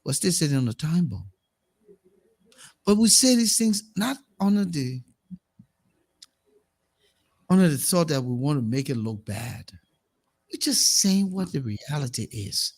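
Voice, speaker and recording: A man's voice: on the high side, very animated, unhurried, long pauses, darkish tone, slightly rough. A Liberian man with a Liberian accent hosting a current affairs talk show, speaking emphatically and repeating words as he builds his points.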